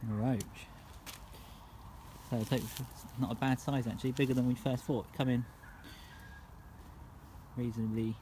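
A man with a mid-pitched voice chats casually as an angler on a fishing vlog. The recording is made outdoors, with noticeable ambient noise behind him. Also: little pitch movement, measured pace, long pauses, dark tone. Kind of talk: casual chat. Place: outdoors on a lakeside bank. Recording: outdoor camera audio, background noise.

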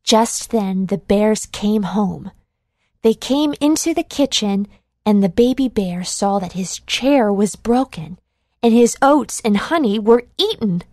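A woman narrating a children's storybook in a measured, clearly enunciated read-along delivery. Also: medium pitch, lively intonation, darkish tone, no echo, clean studio sound.

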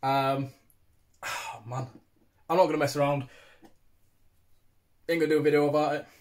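A man in his thirties with a British accent and a slightly high voice. He talks informally and chattily, like a vlog host reviewing to camera at home. The sound is that of a phone a short way from him. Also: steady tone, normal speed, a little mellow. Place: small domestic room indoors. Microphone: phone propped at arm's length.

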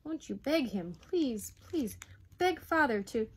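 A woman's voice: high voice, animated, slow, slightly rough, voice pushed up, heavily acted. A woman voicing an earnest working-class schoolboy in a story, urgently imploring. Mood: pleading. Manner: urgent, imploring, words racing.

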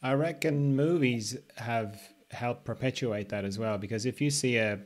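A man's voice: medium pitch, moderate pace. A man around his sixties hosting a podcast, casually asking questions and thinking aloud in a hesitant way. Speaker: podcast host, interviewer. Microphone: video call with earpiece.

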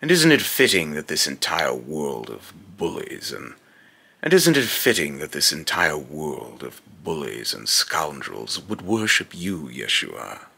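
A young man voicing a menacing, pompous god of fear and loss in a solemn villain monologue, with a gravelly voice. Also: extremely low pitch, wildly swooping pitch, sharp tone, exaggerated voice. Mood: bitter, scornful. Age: young adult. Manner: slow, pompous, solemn, repeats phrases in retakes. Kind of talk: scripted villain monologue, voice-acting takes. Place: small indoor room at home.

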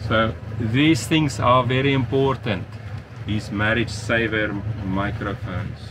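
A man's voice: medium pitch, fairly even intonation, takes it slowly, background noise. A middle-aged man on a catamaran deck by the sea, explaining in a plain, casual way how to sail in and catch a mooring ball. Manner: plain explaining, restarts phrases, chuckles now and then. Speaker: sailing vlogger. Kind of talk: casual instructional talk. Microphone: headset mic at first, then a camera mic up close.

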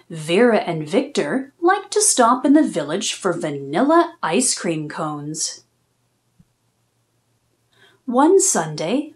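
A woman reads a children's storybook aloud at an unhurried pace. Her pitch moves widely and expressively, and she phrases each line deliberately for small listeners. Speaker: children's read-aloud host.

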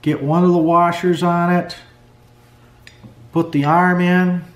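A man's voice: sits high, little pitch movement, slow. A middle-aged man talking through a clutch rebuild at his workbench in a plainspoken way, with lots of fillers. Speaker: snowmobile clutch mechanic. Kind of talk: hands-on workshop tutorial. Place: garage workbench. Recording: echoey workshop.

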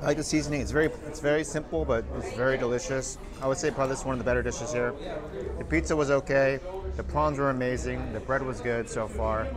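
A man's voice: medium pitch, steady tone, fast talker, crowd noise. A man in his thirties filming a restaurant review vlog, handheld camera mic in a restaurant dining room, enthusiastic and repeating his praise. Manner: enthusiastic, repeats wow and very nice. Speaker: food vlogger. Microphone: handheld camera with small mic.

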